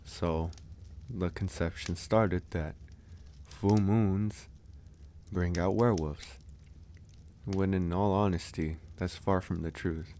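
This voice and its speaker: Some noise sits behind a deep-voiced male werewolf, acted by a man in an ASMR audio roleplay. He speaks very slowly and low, in a calm, easygoing way. His delivery is candid and musing, with sighs and unhurried pauses, as in a close one-to-one talk. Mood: musing, storytelling.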